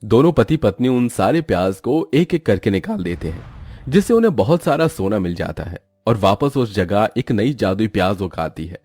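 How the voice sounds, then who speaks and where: A man's voice: on the low side, sing-song, moderate pace, darkish tone, breathy edge, dry recording. A man narrating a scripted cartoon moral story as its storyteller.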